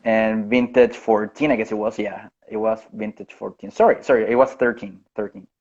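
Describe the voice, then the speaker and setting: A low-voiced man from Chile talks over a video call, with little rise and fall in his voice. He takes his time and often restarts or corrects himself. The call audio sounds dull and lo-fi. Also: fairly even intonation, a little mellow. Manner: unhurried, with frequent restarts and self-corrections. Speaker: head winemaker, guest.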